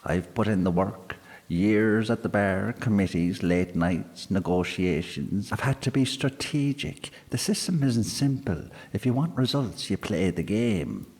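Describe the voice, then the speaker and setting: A man's voice: fairly low pitch, animated, a little slow, darkish tone, voice pushed up. A man voicing a proud, boastful politician in his forties, holding forth in a pub. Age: 40s. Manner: pompous, boastful, self-satisfied. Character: proud middle-aged male politician, barrister. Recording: long hall reverb.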